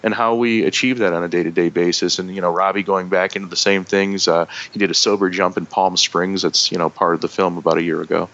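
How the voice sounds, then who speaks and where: A man's voice: low-pitched, steady tone, fast talker, few pauses, fairly bright tone, dull audio. A man with a northern American accent, a guest in a casual interview, talking in a rambling way with many fillers and restarts. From northern United States. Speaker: podcast guest.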